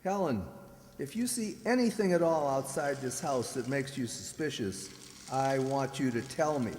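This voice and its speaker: A man acting a formal, grave professor in a radio drama, speaking deliberately and with an ominous manner. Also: medium pitch, animated, a little mellow, lightly acted. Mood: cautioning. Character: grave, measured professor, adult son of the household. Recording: echoey hall, faint noise, long reverb.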